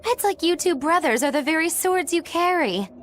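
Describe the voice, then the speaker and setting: A woman's voice: very high voice, animated, normal speed, fairly bright tone, slight background noise. A woman voicing an eccentric, teasing witch girl in a video game, speaking in cryptic, theatrical riddles. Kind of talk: English video game voice acting. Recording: game music under the voice.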